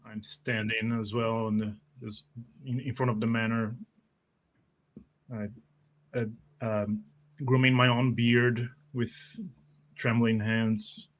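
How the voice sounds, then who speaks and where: Male player, unhurried and very even, hesitant first-person fantasy roleplay with frequent pauses. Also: on the low side, dull audio. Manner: hesitant, pausing. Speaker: tabletop game player.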